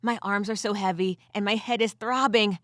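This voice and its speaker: A woman's voice: medium pitch, fairly even intonation, normal speed, a bit bright, no room sound, clean studio sound, close to an everyday voice. A woman voicing a weary but gritty furred female adventurer who tells her story in the first person with wry asides, in an audio drama style. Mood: weary, pained.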